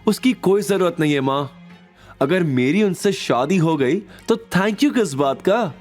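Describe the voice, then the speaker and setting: A man's voice: a little high, lively intonation, measured pace, darkish tone, faint music behind, pitched above the performer's own voice. A man voicing a vain, self-assured young woman, airy and smug, in an audio drama. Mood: smug, amused.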